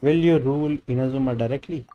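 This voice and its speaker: A woman's voice: very deep voice, fast, lo-fi sound. A woman with an Indian accent, a native Hindi speaker, giving casual commentary as a game streamer. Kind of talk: casual game commentary, reading dialogue aloud.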